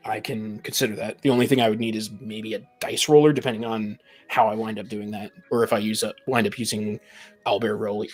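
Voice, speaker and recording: A talkative man in a casual group chat, stammering and repeating the starts of his phrases. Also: lowish voice. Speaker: tabletop game master, podcast guest.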